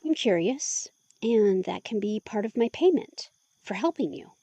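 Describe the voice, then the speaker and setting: A woman's voice: medium pitch, animated, measured pace, mellow, husky, no room sound, highs cut off. A woman acting a curious, teasing helper in an intimate audio drama, playful and blunt as she prods for more.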